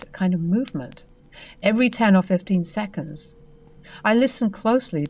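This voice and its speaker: A woman host reading a first-person paranormal story aloud and then chatting about it in a calm, measured way. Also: mid-range voice. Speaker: host and narrator.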